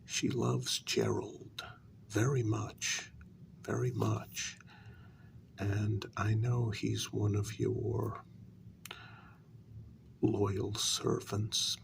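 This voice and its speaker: A man voicing a measured, insinuating vampire who serves his queen, in a soft-spoken, mostly whispered ASMR role-play with deliberate, drawn-out pauses. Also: lowish voice, little pitch movement, creaky, background noise, exaggerated voice. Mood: quietly insinuating.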